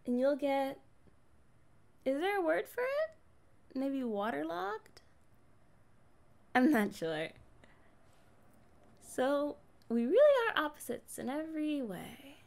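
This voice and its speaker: A young woman playing a kind, curious but guarded mermaid in a conversational ASMR roleplay, chatting casually with hesitant pauses. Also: high voice.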